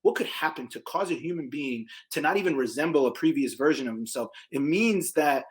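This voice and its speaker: A man's voice: lively intonation, fairly quick. A man from Egypt, a guest on a podcast, talking bluntly and emphatically in a casual conversation. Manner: emphatic, blunt, swears, big pitch jumps on key points. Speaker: podcast guest, small business owner.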